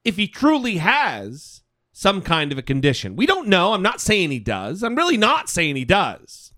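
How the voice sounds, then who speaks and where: A woman's voice: on the low side, huge pitch swings, moderate pace, fairly bright tone, dry recording, clean studio sound. A woman co-hosting a podcast, giving emphatic commentary and repeating phrases for stress.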